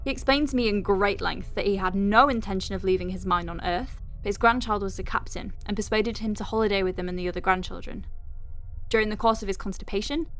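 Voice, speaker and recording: A woman's voice: mid-range voice, fast talker, fairly bright tone, natural voice. A woman playing an exasperated, wry doctor at a space clinic in an audio drama, with a sardonic, conversational delivery. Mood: dry, clipped dictation. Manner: sardonic, conversational, hesitant asides. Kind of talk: audio drama monologue, dictating a letter. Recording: music behind the voice. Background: music.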